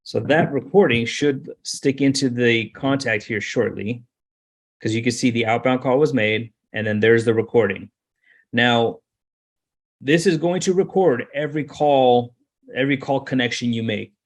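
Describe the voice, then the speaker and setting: A man giving an informal, step-by-step screen-share tutorial as a software trainer, explaining and repeating points for emphasis. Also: mid-range voice, moderate pace.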